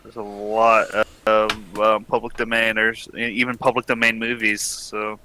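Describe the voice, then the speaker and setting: A man chatting casually and haltingly with others on a livestream, his thoughts trailing off. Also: fairly low pitch, monotone, sharp tone, slight background noise, poor audio. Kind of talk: casual group chat on a livestream.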